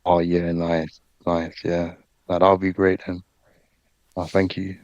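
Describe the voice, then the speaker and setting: A man who is a guest on a casual online chat, talking in a laid-back, halting way over a dull lo-fi mic. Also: deep voice, completely flat delivery, a little mellow, no room sound.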